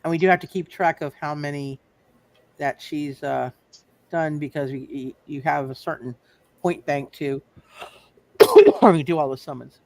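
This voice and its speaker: A game master walks a player through the summoning rules. The speech is halting, with frequent restarts, and the pitch sits in a middle range. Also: fairly even intonation, vocal fry, a little mellow. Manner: halting, restarts while explaining.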